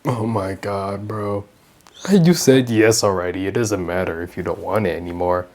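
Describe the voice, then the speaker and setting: Blunt, sarcastic deep-voiced woman. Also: moderate pace, a little mellow. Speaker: gaming video creator. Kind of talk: casual reaction commentary over gameplay.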